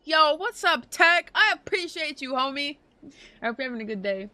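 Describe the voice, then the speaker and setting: A woman's voice: high-pitched, lively intonation, moderate pace, sharp tone, dry recording. A woman chatting casually and slangily on a game livestream. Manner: slangy, informal. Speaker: game streamer. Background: game music.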